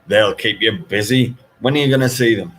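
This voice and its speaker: A man voicing a friendly old chip shop owner in a story, with hearty, drawn-out greetings. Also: on the low side, fairly bright tone, slight background noise, voice pushed up, natural voice. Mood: congratulatory. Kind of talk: audiobook character voice.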